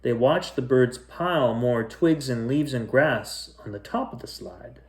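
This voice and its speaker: A man's voice: mid-range voice, unhurried. A man narrating a children's storybook as a bedtime reader, telling it phrase by phrase.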